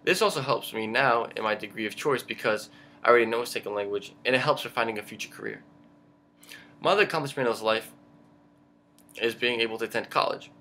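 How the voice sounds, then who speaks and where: A young man, a Greek speaker, giving a prepared student self-introduction in an earnest, measured way with small stumbles. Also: medium pitch, pushed and bright, a little hiss or hum. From Pennsylvania, United States. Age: young adult, about 19. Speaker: college student.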